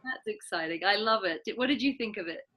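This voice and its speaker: A woman's voice: mid-range voice, animated, fast talker, pushed and bright. Middle-aged woman from Australia, interview host, chatty and friendly, mid-range voice. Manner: chatty, friendly, laughs easily. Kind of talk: casual interview chat.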